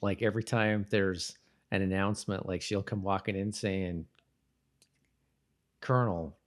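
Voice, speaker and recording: A man co-hosting a podcast in a casual, chatty style, often halting and rephrasing as he goes. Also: deep voice, steady tone. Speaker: podcast host. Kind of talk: casual co-hosted chat about a TV show.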